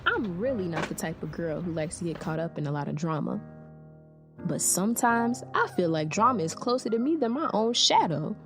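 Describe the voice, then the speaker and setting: A woman's voice: fairly low pitch, animated, a little fast, over music. A woman playing an aggrieved teenage girl who tells her troubles in a confiding, dramatic way, as in a young adult audiobook. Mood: confiding, troubled. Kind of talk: first-person young adult audiobook narration.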